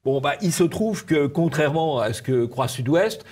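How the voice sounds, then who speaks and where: A man giving conversational political commentary in halting, repeated phrases. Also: fairly high pitch, lively intonation, darkish tone. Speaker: media commentator.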